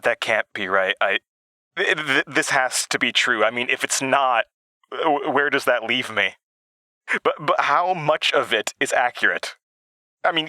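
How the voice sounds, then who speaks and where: A man acting an agitated, self-doubting character in an audio drama, stammering and halting as he questions himself. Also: mid-range voice, sharp tone, dry recording.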